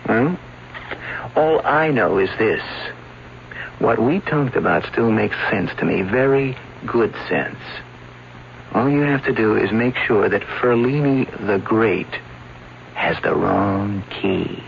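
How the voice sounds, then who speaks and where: An actor playing a pushy, sly accomplice hatching a plot, in a vintage radio drama. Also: male voice, fairly low pitch, expressive, takes it slowly, gravelly, exaggerated voice. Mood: sly, persuasive. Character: pushy, plotting male accomplice, sly and impatient. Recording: dull, noisy old radio drama recording.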